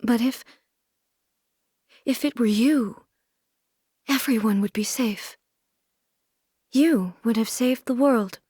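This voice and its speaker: An actress voicing a solemn, aloof immortal shogun and swordswoman in a video game, with formal, measured, stately delivery. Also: fairly high pitch, darkish tone, slightly rough, dry recording, clean studio sound. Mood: fearful, grave. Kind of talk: video game character voice lines.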